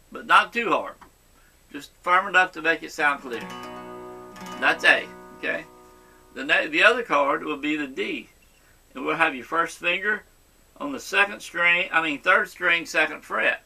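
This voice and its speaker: A man teaches guitar in a sharp, very bright voice that sits slightly high. He goes step by step and reassures the learner, checking in often. Guitar music sounds along with his talk. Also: gravelly, low-quality recording. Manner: encouraging, reassuring. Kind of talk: instructional guitar lesson, step by step.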